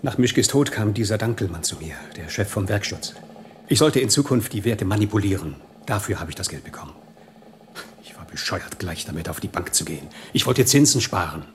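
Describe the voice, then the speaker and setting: A compromised official in a radio play, acted by a man, with a fairly low voice. He sounds defensive and uneasy, and there is some ambient noise behind him. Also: animated, fairly quick, natural voice. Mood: resigned, uneasy. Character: cornered, corruptible male official, uneasy and defensive. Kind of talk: radio drama dialogue.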